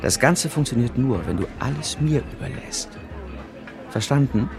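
A man's voice: mid-range voice, expressive, normal speed, over music, close to an everyday voice. An actor playing a shady, controlling man who presses people insistently, in a naturalistic radio drama. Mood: controlled pressure. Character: shady, pressuring man offering a dubious favour.

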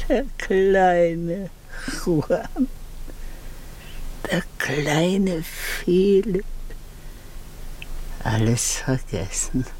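An actress playing a devoted, plain-spoken old servant and nurse in a 1950s German radio play, slow and musing, often sinking to a murmur. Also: female voice, deep voice, darkish tone, slight background noise, heavily acted.

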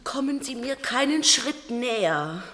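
A woman's voice: on the high side, animated, measured pace, fairly bright tone, reverberant, long reverb tail, slight background noise. An actress playing a frightened, disoriented woman crew member in a German radio drama. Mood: panicked.

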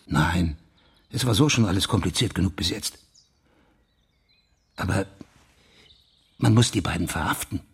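An actor playing a police official in a German crime radio drama, insistently laying out his theory with a husky, gravelly voice. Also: sits low, a little slow, pauses often, exaggerated voice. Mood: urgent.

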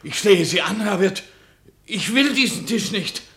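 An anxious, superstitious guest in a radio drama, very high and sharp of voice. He pleads in agitation, with the room echoing around him. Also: animated, measured pace, creaky, exaggerated voice. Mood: frightened, pleading. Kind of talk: German radio play acting. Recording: echoey room.

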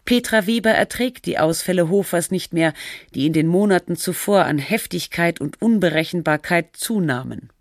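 An actress playing a sober documentary narrator, with measured, literary, analytical delivery of a scripted text. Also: female voice, lowish voice, no room sound, clean studio sound, close to an everyday voice.

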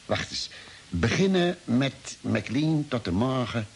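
An actor playing a thoughtful expedition doctor in a radio drama, explaining things deliberately and hesitating mid-sentence. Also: male voice, mid-range voice, sing-song, gravelly, slightly rough, exaggerated voice. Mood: pondering. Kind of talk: 1950s-style radio drama acting. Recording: hissy old radio play recording.

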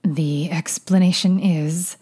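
A woman narrator puts on a dignified male master for a fan fiction audiobook. The voice sits low and dry. He sounds evasive and embarrassed, halting when cornered. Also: dark tone, breathy edge. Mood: cornered, awkward.

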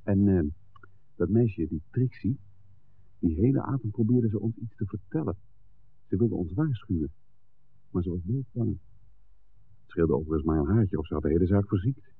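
Low, husky, blunt man in radio drama. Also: animated, normal speed, pauses often, exaggerated voice. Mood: suspicious. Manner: blunt, colloquial. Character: practical, suspicious, confident film man. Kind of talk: 1960s Dutch radio drama acting. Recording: muffled old radio recording.

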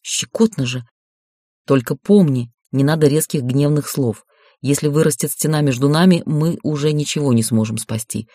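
A man voicing a calm, commanding yet tender raven lord in an audiobook, speaking in a hushed, soothing, measured way. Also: a little high, no echo, studio quality. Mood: gentle, persuasive.

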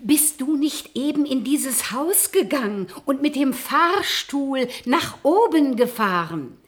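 A woman's voice: high voice, expressive, slow pace. A woman playing an irritable, impatient traveller in a radio play, blunt and nervy. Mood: demanding. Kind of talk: German radio play acting.

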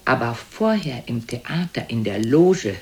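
A woman's voice: rumbling low, very animated, unhurried, darkish tone, full character voice. An actress playing a proud, reproachful elderly mother in a radio play, scolding and accusing in a dramatic manner. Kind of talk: German radio play acting.

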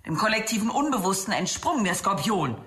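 An actress playing a giddy, grandiose woman artist in a German radio drama, declaiming excitably. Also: medium pitch, fairly quick. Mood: grandiose, excited. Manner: excitable, declaiming, breaking into laughter. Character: giddy, manic woman artist named Tina, brittle and grandiose.

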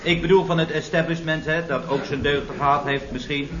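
A man's voice: a little high, steady tone, fairly quick, very noisy, close to an everyday voice. A man playing an eager, earnest young employee who preaches wordily yet deferentially in a vintage radio comedy. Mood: earnest pleading. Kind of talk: 1970s Dutch radio comedy acting. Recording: roomy, dull vintage radio recording.

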